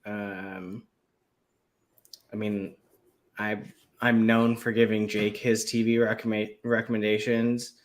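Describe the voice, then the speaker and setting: A man chatting casually as a panelist on a talk show, in a halting, conversational way with trailing asides. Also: lowish voice, flat delivery. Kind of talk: casual chat.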